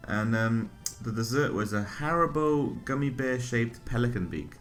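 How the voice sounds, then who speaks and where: A man co-hosting a podcast, reading absurd items in a flat, deadpan way. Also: mid-range voice, normal speed, faint music behind. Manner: deadpan, reads absurd menu items flatly. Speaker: podcast co-host. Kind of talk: deadpan comedic chat.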